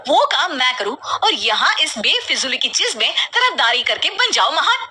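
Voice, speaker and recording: A woman's voice: high-pitched, very animated, normal speed, a little hiss or hum, full character voice. A woman voicing an exasperated, sarcastic housewife and mother who scolds and nags in a cartoon story. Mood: fed up. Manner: sharp sarcastic nagging.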